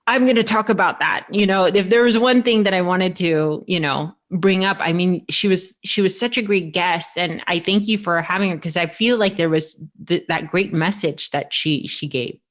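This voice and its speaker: Rambling American woman in her forties. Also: medium pitch. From United States. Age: 40s. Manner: rambling, restarts sentences often. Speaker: podcast host. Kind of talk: casual podcast conversation.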